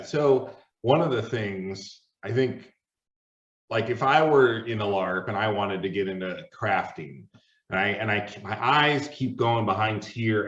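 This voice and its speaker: Roomy dull recording, man, low voice, interview host, casual unscripted questions. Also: fairly bright tone. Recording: roomy, distant, dull microphone.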